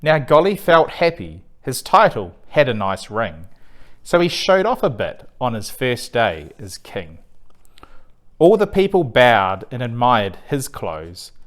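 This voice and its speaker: A male storyteller reads rhyming bedtime verse with an expressive, theatrical delivery. His pitch moves up and down in a lively way, and he takes his time over the lines at an unhurried pace. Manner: animated, deliberate, theatrical read-aloud delivery. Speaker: storyteller reading a picture book.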